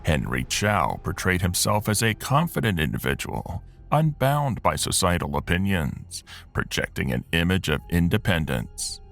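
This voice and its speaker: Low, gravelly male narrator for a true crime story; formal and measured, animated pitch, soft music underneath. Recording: music bed behind narration.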